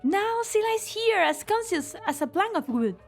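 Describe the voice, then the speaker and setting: Very high, distraught young woman played by a woman. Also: very animated, moderate pace, faint music behind, heavily acted. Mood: accusing. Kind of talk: scripted game fan dub acting.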